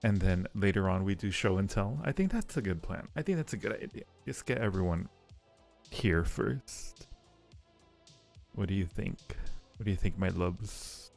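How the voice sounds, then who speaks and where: A man's voice: low voice, lively intonation, normal speed, creaky, darkish tone, faint music behind. A man hosting a casual livestream, chatting playfully and affectionately with viewers in a rambling way, often repeating phrases. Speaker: livestream host.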